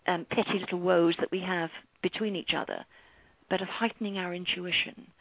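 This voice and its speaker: A middle-aged woman with an English accent speaks in a husky voice, as a guest explaining spiritual ideas in an interview. The sound is dry and slightly dull, like lo-fi radio. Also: lowish voice, fairly even intonation, fairly quick, no echo. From London, England. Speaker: guest numerologist and author.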